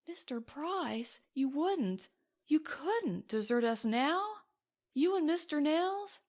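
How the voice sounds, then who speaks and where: Reader doing a scared genteel young woman, high pitched, pleading, audiobook. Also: big pitch swings, slow, darkish tone, heavily acted. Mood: pleading, frightened.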